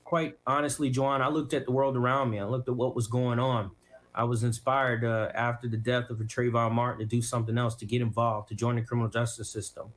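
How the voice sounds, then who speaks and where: A young American man answers an interview question with passion. His voice is mid-pitched, and he builds his points through rhetorical repetition. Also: level tone, a little fast. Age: young adult. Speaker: elected prosecutor being interviewed.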